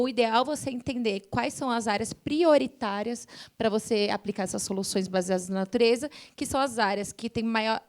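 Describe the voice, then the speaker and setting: A woman's voice: a little high, darkish tone. A woman with a Brazilian accent explaining environmental science as a panelist at a forum, often adding small tag questions as she goes.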